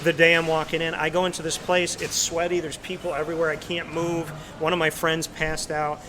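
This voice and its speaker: A man's voice: on the high side, a little fast. Chatty male host in a noisy restaurant. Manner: chatty storyteller, animated asides. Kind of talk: casual interview chat. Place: busy restaurant. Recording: loud crowd chatter behind.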